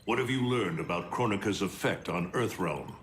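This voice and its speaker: Low, solemn warrior leader. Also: male voice, lively intonation, a bit bright. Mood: solemn, urgent. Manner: formal, deliberate. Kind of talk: cinematic video game acting. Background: faint music.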